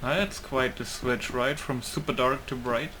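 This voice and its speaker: A man comments casually on a video game as he plays. His mid-range voice moves slowly and haltingly as he thinks aloud. Game ambience is clearly audible behind him. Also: medium pitch, fairly even intonation, noisy background. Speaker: gaming YouTuber. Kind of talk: casual let's play commentary.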